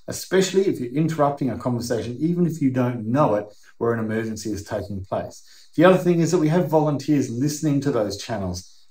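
A man's voice: mid-range voice, a little mellow. A middle-aged Australian man giving a conversational, emphatic, plain-spoken explainer to camera as a video host. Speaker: YouTube host. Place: indoor workshop or garage. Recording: roomy, echoing indoor sound.

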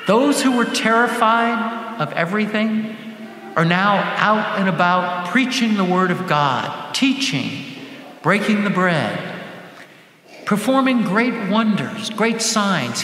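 An older man preaching a sermon as a deacon, speaking deliberately in short phrases with dramatic pauses. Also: high-pitched, expressive, pushed and bright. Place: large church sanctuary, at the lectern. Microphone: gooseneck lectern microphone. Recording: echoey church hall with faint background noise.

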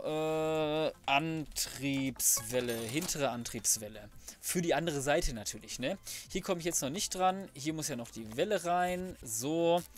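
A young man talks through a video game. He thinks aloud casually, muttering and grumbling mildly in colloquial speech. Music plays behind him. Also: a little high. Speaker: let's play host. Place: indoors, voice-over recorded apart from the game.

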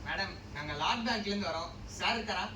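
A man's voice: high voice, quick pace, lightly acted. A man acting in a stage comedy as a put-upon bank employee, polite on the surface but sarcastic. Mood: puzzled, polite. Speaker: stage actor. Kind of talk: comic stage play acting. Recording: distant mics, echoey hall, noisy.

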